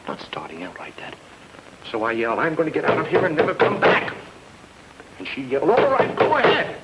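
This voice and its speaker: Animated newlywed private eye, radio noir. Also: male voice, on the high side, a little fast, muffled recording, heavy background noise. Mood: flustered pleading. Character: fast-talking newlywed private detective, flustered yet wisecracking. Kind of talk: 1940s radio noir acting. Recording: old radio drama, music underneath.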